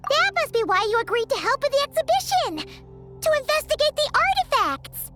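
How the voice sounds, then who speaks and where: A woman voicing a tiny, excitable fairy girl sidekick in a video game, with a squeaky childlike voice and an eager, exclamatory manner. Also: normal speed, music in the background. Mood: excited. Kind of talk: scripted video game dialogue.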